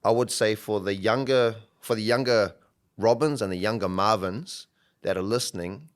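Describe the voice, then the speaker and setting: A young man co-hosts a casual podcast. His voice sits very low and he talks in an easygoing, reflective way. He often draws out his phrases. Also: moderate pace. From New Zealand. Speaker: podcast co-host, coach.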